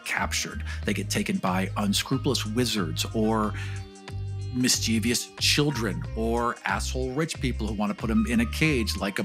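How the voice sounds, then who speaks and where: A man with a mid-pitched voice tells a tabletop fantasy adventure as game master. Music plays clearly behind him. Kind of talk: storytelling narration for tabletop game.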